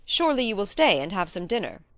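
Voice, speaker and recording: A woman's voice: medium pitch, very animated, fast talker, a bit bright, dry recording, clean studio sound. A woman voicing an eager young male heir to an ancient manor in an audiobook, earnest and boyish. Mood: eager, awed. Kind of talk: audiobook character acting.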